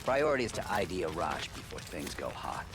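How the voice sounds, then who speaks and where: An actor playing a tough, curt American covert operative in a military video game. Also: male voice, deep voice, very animated, a little fast. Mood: focused. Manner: curt, commanding. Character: tough, commanding American male covert operative, coolly menacing. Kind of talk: cinematic military game acting. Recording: loud music and vehicle noise behind.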